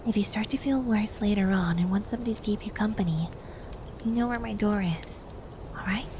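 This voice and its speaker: A woman voicing a caring, sensible, bossy young twin maid in a hushed, often whispered ASMR roleplay. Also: medium pitch, brisk, background noise, natural voice. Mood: tender hushed. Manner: soft-spoken, hushed, often slipping into whispers.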